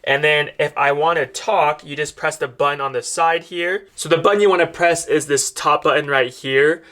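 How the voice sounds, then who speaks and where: A man's voice: a little high, fairly even intonation, normal speed, pushed and bright. A man casually demonstrating a product to camera, explaining step by step as he addresses viewers. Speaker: product reviewer.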